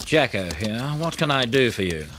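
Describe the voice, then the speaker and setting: A man voicing an easygoing, obliging gentleman kookaburra in a children's storybook, speaking without hurry. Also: mid-range voice, sing-song, normal speed, a little hiss or hum. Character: easygoing male kookaburra, well-travelled gentleman.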